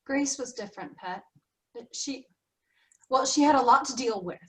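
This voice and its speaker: A woman's voice: high voice, moderate pace, fairly bright tone, rough recording. An actress in a staged reading playing a fussy, worried grandmother over sixty. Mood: wistful. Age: older. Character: fretful grandmother, sharp and worried. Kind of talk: virtual staged play reading.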